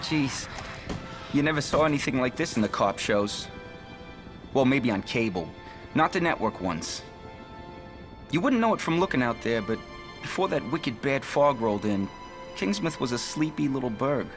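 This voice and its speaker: A man's voice: mid-range voice, animated, normal speed, long pauses, over music, lightly acted. An actor playing a naive, rattled small-town policeman in a video game, nervous, folksy and rambling. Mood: rattled, chatty. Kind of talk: video game character monologue.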